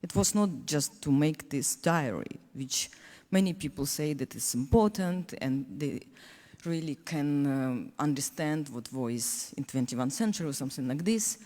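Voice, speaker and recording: A Ukrainian-accented woman whose first language is Russian speaks on a panel in earnest, halting English, often restarting her sentences. Her voice sits low and mellow. It rings out with a long reverb in a large hall. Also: expressive. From Ukraine (Donetsk). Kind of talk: panel discussion speech.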